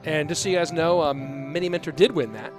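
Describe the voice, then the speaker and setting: A man casually casting an esports stream, reading viewer chat aloud and answering in a relaxed, rambling way. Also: fairly high pitch, brisk, over music.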